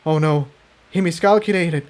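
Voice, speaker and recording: A man voices a guarded female pony in a fantasy storybook. She is tense and worried, with terse lines in a raised pitch. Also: moderate pace, a little mellow, lightly acted. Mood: dismayed.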